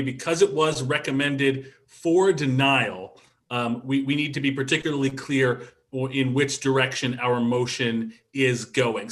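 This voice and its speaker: A man's voice: mid-range voice, fairly bright tone. A man chairing a council meeting over a video call, guiding the proceedings with frequent ums and restarts.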